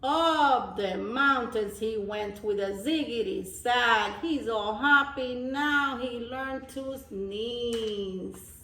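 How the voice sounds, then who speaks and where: Slow, high-voiced woman reading to children, echoey. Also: sharp tone, a little hiss or hum. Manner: simple explanations, repeating phrases for young listeners. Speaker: teacher reading to young children. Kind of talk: storybook read-aloud with explanatory asides. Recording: roomy echo, distant microphone.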